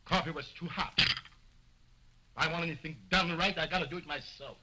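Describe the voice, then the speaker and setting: A vain, bossy ventriloquist. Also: male voice, a little high, fairly quick, sharp tone, husky, full character voice. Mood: boastful. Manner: boastful, bossy, scolding. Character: vain, arrogant male ventriloquist, quick-tempered. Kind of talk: 1920s early talkie film acting.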